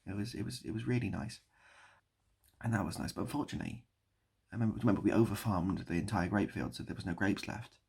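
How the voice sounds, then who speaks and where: Casting: British man, low-ish creaky voice, rambling improvised storyteller and online host, repetitive delivery. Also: fast, a little mellow. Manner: mock-nostalgic, repeats phrases and asks the listener to remember. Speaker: online video creator and host.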